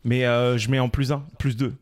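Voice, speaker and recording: A man's voice: mid-range voice, little pitch movement, normal speed. A man, co-hosting a comedy podcast with playful, slangy, casual banter. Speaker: podcast co-host.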